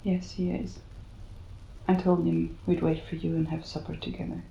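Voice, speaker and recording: A creaky-voiced, wounded wife and mother acted by a woman. She pleads protectively, in a 1930s-set audio drama recorded with roomy echo and some background ambience. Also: fairly low pitch, level tone, moderate pace, mellow, muffled recording, slight background noise. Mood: anxious pleading. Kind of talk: cinematic audio drama acting.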